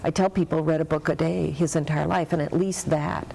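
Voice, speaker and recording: A woman with a low, gravelly, mellow voice recalls her childhood in a halting, self-deprecating way. Laughter slips into her talk, and a faint hiss sits under it. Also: fairly even intonation, rough recording. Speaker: author guest. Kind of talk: casual reminiscing.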